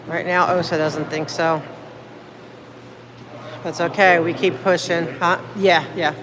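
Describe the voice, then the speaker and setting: A woman's voice: fairly low pitch, steady tone, slow, pauses often. Rambling woman livestreaming on a noisy phone. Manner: rambling, thinks aloud, thanks viewers. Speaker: livestreamer filming city meetings. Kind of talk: casual livestream chat. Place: public building hallways and elevators.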